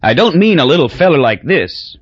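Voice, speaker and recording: A man voicing a loud, boozy, crude middle-aged businessman who talks in folksy bluster. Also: fairly high pitch, sing-song, a little fast, breathy edge, dry recording, highs cut off, pitched above the performer's own voice.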